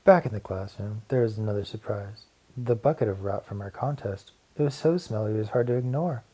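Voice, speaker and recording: A man's voice: lowish voice, fairly quick, warm tone, a little hiss or hum, rough recording. A man reading a children's storybook aloud as narrator, in a scripted narration style.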